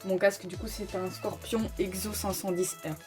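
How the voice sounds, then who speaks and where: A woman's voice: mid-range voice, little pitch movement, moderate pace. A woman hosting a casual, informal step-by-step tutorial, explaining as she goes and announcing each step. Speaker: host of a motorcycle gear tutorial. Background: music.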